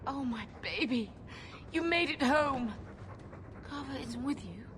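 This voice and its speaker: A woman's voice: sits high, lively intonation, a little slow, noisy background. A woman playing a tender, anxious middle-aged mother in a cinematic fantasy game, with music behind.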